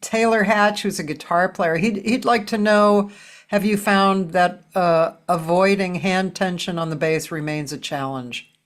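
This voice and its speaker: A woman's voice: lowish voice, unhurried. An older woman with an American accent, hosting a casual conversational interview. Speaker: interview host.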